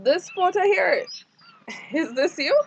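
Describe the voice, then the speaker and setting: A woman's voice: extremely high pitch, expressive, slow, creaky, muffled recording, a little hiss or hum, heavily acted. An actress playing a polite, inquisitive woman visitor in a screen drama. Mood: sympathetic.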